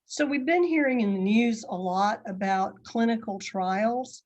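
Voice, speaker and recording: A woman's voice: on the high side, measured pace, a little mellow, lo-fi sound. A woman interviewer asking her guest polite, friendly questions.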